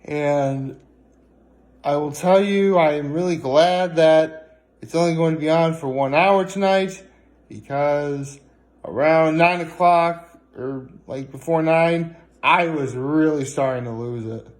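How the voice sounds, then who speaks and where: Higher-voiced man rambling through a casual vlog. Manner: rambling, halting, self-correcting. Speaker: vlogger. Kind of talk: casual solo vlog chat. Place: indoors at home, on a couch.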